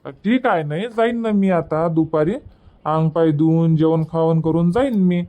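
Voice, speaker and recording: A man's voice: on the high side, a little slow, dark tone, natural voice. A man voicing an easygoing, stubborn village husband in a cartoon comedy, chatty and colloquial, with a rural Varhadi Marathi accent. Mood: casual, reassuring. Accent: rural Varhadi Marathi from Vidarbha. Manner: casual, colloquial, explaining at length.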